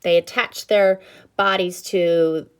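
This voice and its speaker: A woman teacher reading aloud to children, with expressive emphasis and instructive explanatory asides. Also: lowish voice, takes it slowly, clear, resonant tone. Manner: instructive, checks in with listeners.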